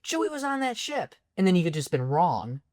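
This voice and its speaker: A woman co-hosting a film podcast, ranting in exasperation about a movie's plot holes, her voice going a bit raspy. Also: lowish voice, fairly quick, no echo, studio quality. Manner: exasperated, ranting, animated complaints. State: voice getting raspy. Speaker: podcast co-host. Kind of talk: casual film critique chat.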